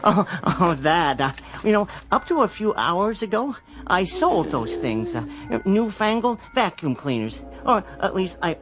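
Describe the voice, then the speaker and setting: An actor playing a timid, awkward American salesman in a radio drama, stammering, hesitant and rambling apologetically. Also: a little high.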